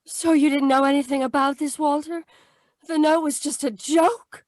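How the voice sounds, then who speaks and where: An actress playing an earnest, anxious young sweetheart in an old-time radio adventure, speaking dramatically. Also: female voice, high voice, fairly even intonation, normal speed, darkish tone, no room sound, studio quality, natural voice.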